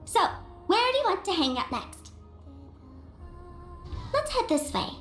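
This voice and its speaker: High, playful young trickster. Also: female voice, sing-song, measured pace, fairly bright tone, echoey room, soft background music, full character voice. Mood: teasing. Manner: teasing, coy, theatrical. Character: playful, sly, theatrical young woman trickster. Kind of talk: anime-style game voice acting.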